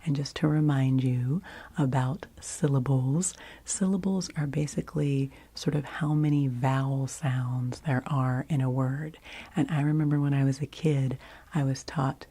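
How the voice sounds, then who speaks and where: A woman whispering softly in ASMR style, gently and patiently giving instructions and repeating phrases as a host. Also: rumbling low, fairly even intonation, unhurried. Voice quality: breathy whisper. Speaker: host of a relaxation ASMR show. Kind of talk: whispered ASMR, leading word games.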